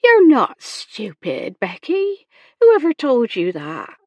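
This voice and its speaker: Woman doing a kindly housekeeper, motherly, firm, deliberate slow delivery, reassuring, audiobook dialogue. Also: lowish voice, huge pitch swings, darkish tone, husky, dry recording, exaggerated voice. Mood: concerned.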